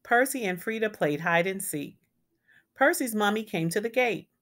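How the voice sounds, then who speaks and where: A woman reading a children's picture book aloud as a storyteller, with deliberate, emphatic phrasing for young listeners. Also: medium pitch, lively intonation, fairly bright tone. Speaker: read-aloud storyteller and educator.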